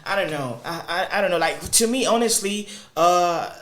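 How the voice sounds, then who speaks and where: Chatty Zambian man hosting, high voice. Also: moderate pace. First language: Lozi. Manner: chatty, animated, thinking aloud. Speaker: host. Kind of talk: casual podcast discussion.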